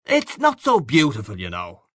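A man's voice: mid-range voice, huge pitch swings, moderate pace, bright, forceful tone, no room sound, clean studio sound, voice pushed up. A man voicing a hearty, boastful newspaperman in an audiobook, breezy, expansive and patronising. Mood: boastful, cheerful. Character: boisterous, boastful, hearty Irish newspaperman back home. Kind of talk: audiobook character dialogue.

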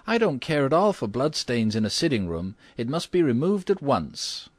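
A man's voice: a little high, wildly swooping pitch, measured pace, dry recording, voice pushed up. A man voicing a fussy, imperious lady of the house in an audiobook, with an exclamatory, indignant manner. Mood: disgusted, indignant. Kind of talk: audiobook character voice.

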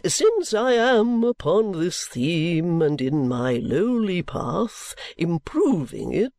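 A man's voice: sits high, sing-song, a little mellow, no echo, pitched above the performer's own voice, full character voice. A man doing an oily, pompous preacher. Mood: unctuous. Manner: slow, grandly sermonizing with long pauses.

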